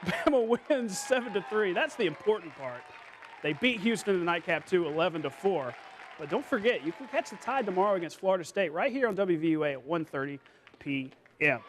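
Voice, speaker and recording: A high-pitched American man anchors a local-style sportscast. He reads scripted scores and highlight calls with playful, colloquial punch. There is some noise behind his voice. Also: lively intonation, a little fast, fairly bright tone, some chatter behind.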